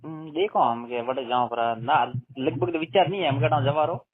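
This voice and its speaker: A man's voice: on the high side, moderate pace, slightly rough. A man with a Marwari accent from western Rajasthan chatting casually on a private phone call. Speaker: phone caller. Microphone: telephone line. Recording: tinny phone call recording.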